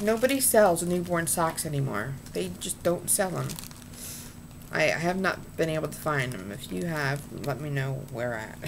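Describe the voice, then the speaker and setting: Low, gravelly-voiced woman chatting slowly. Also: noisy background. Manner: chatty, rambling, lots of ums and restarts. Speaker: vlogger showing purchases. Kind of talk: casual haul vlog. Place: small room indoors. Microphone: webcam at desk.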